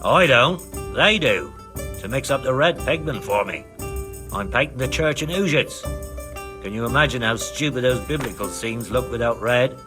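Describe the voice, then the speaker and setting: An actor playing an exasperated, hard-up medieval church painter in a video game, grumbling wryly. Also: male voice, mid-range voice, lively intonation, moderate pace, lightly acted. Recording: music behind the voice.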